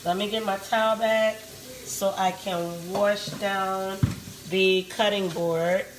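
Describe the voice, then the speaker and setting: A woman with a raspy voice, hosting a casual live cooking demo from her home kitchen and chatting with her viewers as she works. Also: mid-range voice, steady tone, slow, noisy background. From Puerto Rico.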